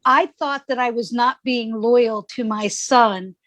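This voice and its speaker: A woman, a grief counselor speaking calmly and measuredly as an interview guest. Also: a little high, a little slow, no echo, studio quality.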